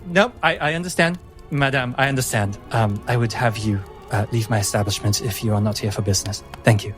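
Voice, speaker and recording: A man's voice: medium pitch, a little fast, music in the background, close to an everyday voice. Man playing a flustered, proud French madam. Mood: polite, firm. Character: anxious, proud middle-aged French madam of a brothel.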